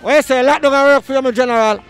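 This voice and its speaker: A woman with a Jamaican accent, interviewing passersby on a city street with a handheld mic, firing off quick probing questions. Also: sits high, faint music behind. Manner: quick probing questions, show sign-off catchphrases. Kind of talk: vox pop interviewing.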